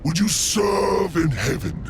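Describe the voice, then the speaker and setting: A man playing a brooding, imperious fallen angel in a game cutscene, gravelly and grandiose in his oratory. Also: high-pitched, very animated, noisy background, heavily acted. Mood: grandiose, tempting. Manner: grandiose, drawn-out oratory swinging between hush and roar. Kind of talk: theatrical video game cutscene acting.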